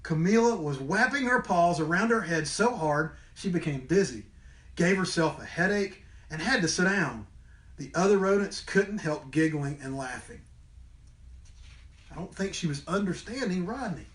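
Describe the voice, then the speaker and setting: A man with a fairly high voice reads a children's storybook aloud. His delivery is expressive, in the manner of a schoolteacher at story time. The room adds noticeable echo. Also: moderate pace. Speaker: storybook reader, teacher. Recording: roomy indoor echo.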